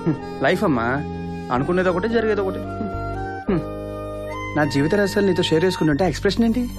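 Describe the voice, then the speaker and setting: A man's voice: sits high, animated, quick pace. An actor playing a playful young bachelor in a film romantic comedy, flippant and teasing, slipping English phrases into his talk. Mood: joking, teasing. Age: young adult. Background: loud background music.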